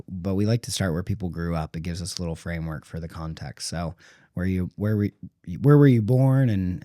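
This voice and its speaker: A man's voice: low-pitched, dark tone, dry recording, clean studio sound. A man hosting a podcast, asking questions in an easygoing way and reacting with short interjections. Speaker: podcast host. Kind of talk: casual interview chat.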